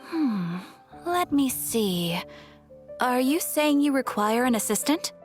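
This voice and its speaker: A helpful young receptionist in a video game. Her slightly high voice rises and falls in a lively way, courteous and obliging, with faint music behind. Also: takes it slowly, exaggerated voice. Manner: polite, obliging.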